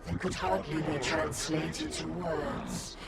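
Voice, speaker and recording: An actor voicing an inhuman hive-mind creature in a video game, coldly polite and formal, speaking as a collective, with a gravelly, echo-processed voice. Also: mid-range voice, fairly even intonation, slow. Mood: coldly boastful. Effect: echoing monster voice processing. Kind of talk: video game villain acting. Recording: echoing, distant, under loud music.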